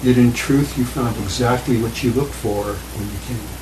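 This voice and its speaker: A man reads a spiritual passage aloud at a measured, even pace. He is well away from the mic, so he sounds distant and roomy, with clear background noise and rain. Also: medium pitch. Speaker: reader in study group. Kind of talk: reading aloud from a spiritual book. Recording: distant, echoey, noisy.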